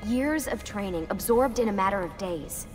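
An actress playing a guarded, earnest young woman assassin in a video game, speaking in a measured way. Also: medium pitch, expressive, natural voice. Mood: grave, persuasive. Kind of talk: video game cutscene acting. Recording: music behind the voice.

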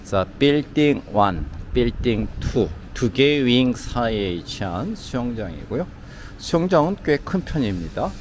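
A man's voice: mid-range voice, sing-song, a little slow, few pauses. A man narrating a casual walking tour of a city hotel's outdoor pool, with lots of filler words, recorded on a camera mic outdoors. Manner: conversational, frequent "자" and "뭐" fillers. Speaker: travel vlogger touring a hotel. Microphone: handheld camera mic. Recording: outdoor camera audio with traffic noise. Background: city traffic ambience.

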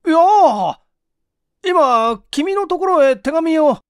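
A man's voice: extremely high pitch, lively intonation, slow, no echo, studio quality, voice pushed up, heavily acted. A man voicing an anxious young engineer with a polite, hesitant, apologetic manner in a storybook reading. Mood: startled.

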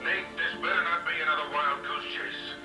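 A male actor plays an older American fortune hunter in a video game, dry and hard to impress. He sounds far from the mic in an echoey space, with loud music over him. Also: a little high, fairly even intonation, fairly quick, lightly acted. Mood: dry, skeptical. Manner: dry, skeptical. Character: gruff older male treasure-hunting partner, skeptical.

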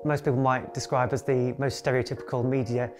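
A man's voice: medium pitch, level tone, a little fast, a little mellow, soft background music. A young man from Surrey in a to-camera interview, talking earnestly about his working life. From Surrey, south of England. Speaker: interviewee, TV worker. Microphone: studio interview, music behind.

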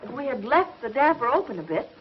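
An alert young woman of science in a 1950s television space serial. Her voice sits high and her delivery is earnest, crisp and dramatic. The old soundtrack is dull and muffled. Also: very animated, quick pace. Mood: tense, curious. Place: studio laboratory set.

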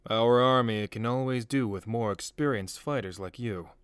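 A man's voice: mid-range voice, normal speed, clean tone, no room sound, natural voice. A man playing an encouraging army drill instructor in a comic dub, authoritative yet friendly. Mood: chiding, curious. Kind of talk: dramatic comic dub acting.

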